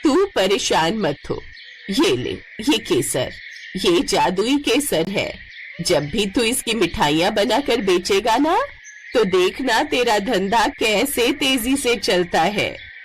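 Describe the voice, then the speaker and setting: A kindly, mysterious old granny from a fairy tale, put on by a woman narrator. She speaks slowly and soothingly, with a lively swing in pitch. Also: slight background noise. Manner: slow, soothing.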